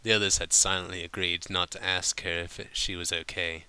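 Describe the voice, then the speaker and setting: A man's voice: sits low, little pitch movement, brisk, pushed and bright, smooth. A man reading a story aloud informally, sometimes stumbling over his words and breaking off to comment. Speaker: fan fiction reader.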